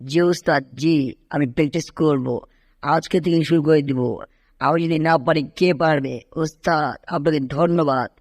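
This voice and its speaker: An excitable, boastful tomcat from a dubbed cartoon, voiced by a man. He whines and exclaims like a would-be kung fu master, recorded close and dry. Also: a little high, measured pace, dry recording. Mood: eager. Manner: animated, whining, exclaiming, shouting kung fu cries. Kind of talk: amateur cartoon dub in Bengali.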